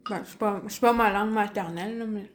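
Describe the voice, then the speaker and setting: A woman's voice: mid-range voice, brisk, a little hiss or hum. A young woman with a Quebec French accent, answering interview questions hesitantly and searching for words. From Innu community, Quebec. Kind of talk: casual interview.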